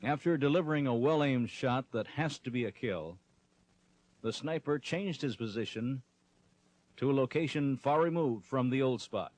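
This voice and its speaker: A man's voice: medium pitch, normal speed, darkish tone, rough recording. A man reading scripted, instructional narration for a training film.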